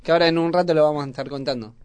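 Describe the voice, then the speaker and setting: A man's voice: fairly high pitch, rapid-fire, darkish tone, smooth, no room sound. A man with a Buenos Aires accent chatting casually as a co-host on a radio show. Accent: Buenos Aires Argentine Spanish.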